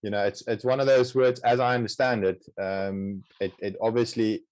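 A low-pitched man with an Afrikaans accent answers interview questions in a halting way, repeating words as he restarts. His voice sits very deep. The sound is dry and close. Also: steady tone, darkish tone, no room sound. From South Africa. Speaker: guest, project co-founder. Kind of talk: casual interview conversation.

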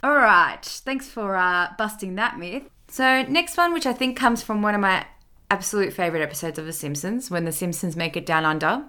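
Australian female podcast host, medium pitch, conversational interviewer style. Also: animated, normal speed, sharp tone.

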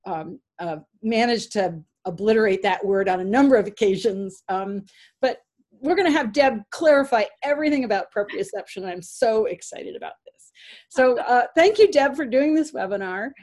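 A woman's voice: fairly high pitch, lively intonation, moderate pace, darkish tone. Chatty American woman hosting a webinar. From Connecticut, United States. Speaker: webinar host, riding clinician. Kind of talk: casual introduction and interview chat.

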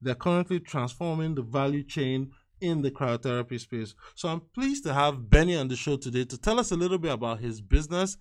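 Man, podcast host, mid pitch, steady scripted delivery, interview questions. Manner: measured, reads from a script, spells things out slowly. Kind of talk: podcast interview and read-out sponsor spots.

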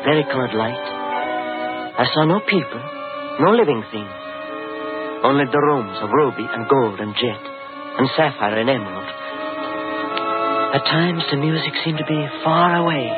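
An actor playing a cultured, haunted count, formal and brooding, in a 1940s radio horror drama. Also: male voice, medium pitch, phone-quality sound, lightly acted. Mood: haunted, awed. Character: cultured, haunted Carpathian count, fearful of madness. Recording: thin 1940s radio recording.

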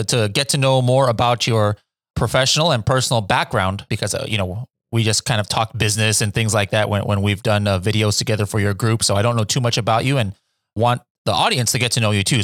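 A man hosting a conversational podcast interview in an informal, animated way. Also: medium pitch, no echo, studio quality. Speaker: podcast host.

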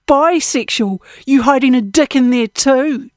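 A woman puts on a belligerent female bar bully for an audiobook. The voice is coarse in manner, jeering and aggressive, pitched fairly high. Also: measured pace, darkish tone, dry recording, clean studio sound. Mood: sneering, hostile.

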